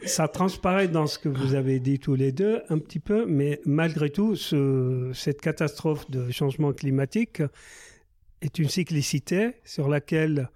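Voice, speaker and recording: Mellow-voiced man in his sixties. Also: a little high, dry recording, studio quality. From Palestine. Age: 60s. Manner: measured, searching for words. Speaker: agronomist speaking in a group discussion. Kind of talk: casual discussion.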